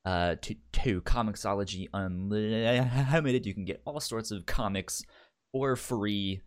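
A man's voice: on the low side, unhurried. A man co-hosting a podcast in casual chat, rambling, with many stammered restarts and the hiccups. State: has the hiccups. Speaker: podcast co-host.

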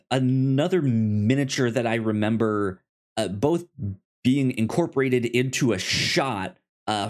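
A man co-hosting a casual film review podcast. He takes his time, speaking slowly at a middling pitch. His delivery is halting, with words often repeated or stammered as he works toward his point. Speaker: podcast co-host.

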